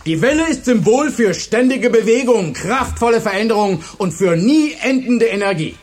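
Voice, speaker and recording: An actor playing a charismatic, authoritative high school teacher who instructs and rallies a class in a radio drama. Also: male voice, lively intonation, normal speed, darkish tone, breathy edge. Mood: fervent approval. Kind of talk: German radio drama acting.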